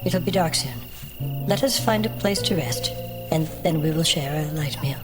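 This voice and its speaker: Low-pitched, guarded elf woman played by an actress. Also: normal speed, over music, close to an everyday voice. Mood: guarded, kind. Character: female elf traveller, cautious but friendly. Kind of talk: fantasy audio drama acting.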